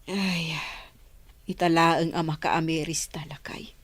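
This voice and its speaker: A woman's voice: low voice, steady tone, a little slow, dry recording. A woman playing a concerned village mother and grandmother in a radio drama, speaking. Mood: worried.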